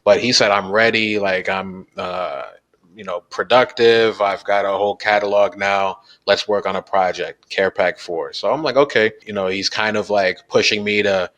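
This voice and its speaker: An American man, a guest musician, talking about his background in a relaxed, casual interview with lots of fillers. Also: lowish voice, normal speed. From United States. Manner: relaxed, explains at length with many fillers.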